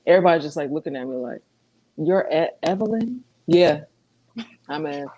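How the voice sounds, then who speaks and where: A woman guest artist talking loosely in an interview, halting with many fillers. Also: sits low, expressive, warm tone, low-quality recording. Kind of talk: casual interview talk.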